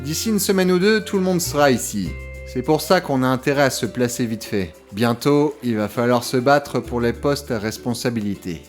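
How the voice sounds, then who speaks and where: A man's voice: medium pitch, expressive, moderate pace, faint music behind, natural voice. A man playing a showy, cajoling startup enthusiast in an audio comedy drama. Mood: persuasive. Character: breezy, boastful male startup enthusiast coaxing a friend.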